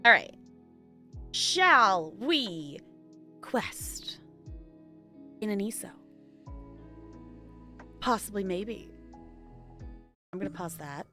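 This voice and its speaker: A woman's voice: medium pitch, very animated, drawn-out words, pauses often, pushed and bright, soft background music. A woman live-streaming, chatting casually with her viewers in a rambling, giggly way.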